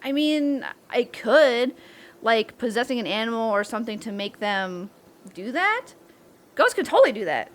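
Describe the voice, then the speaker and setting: A playful young woman camper , acted by a woman in an audio drama. Her voice sits fairly high and leaps around with lots of animation. She is bold and excitable, teasing her friends in casual slang. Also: normal speed. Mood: playfully insistent. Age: young adult. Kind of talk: roleplay audio drama acting.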